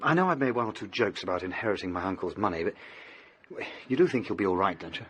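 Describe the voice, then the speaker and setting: Anxious young English gentleman, swooping pitch. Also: animated, fast talker, breathy edge, full character voice. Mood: uneasy, friendly. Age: young adult. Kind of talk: radio drama acting.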